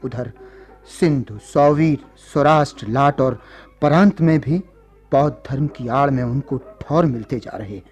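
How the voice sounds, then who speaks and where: A man playing a grave, resolute noble statesman, speaking with measured solemnity in a radio drama. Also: medium pitch, husky, soft background music. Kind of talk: Hindi radio drama acting.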